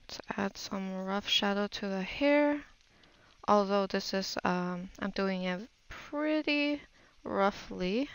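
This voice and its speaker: Slow-talking woman with a gravelly creak. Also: mid-range voice, expressive, no room sound, poor audio. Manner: unhurried, musing aloud while working. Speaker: digital painting tutor. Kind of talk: casual art tutorial narration.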